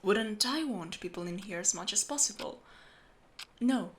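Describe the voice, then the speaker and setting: A woman playing a meticulous, secretive steampunk clock repairer in an audio roleplay, explaining things patiently and in a measured way to the listener. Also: medium pitch, lively intonation, a little hiss or hum, lightly acted. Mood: patient, focused. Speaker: amateur voice actor.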